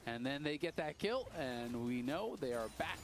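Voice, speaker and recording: A man giving quick, analytical live esports commentary. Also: mid-range voice, big pitch swings, a crowd in the background. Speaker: color caster.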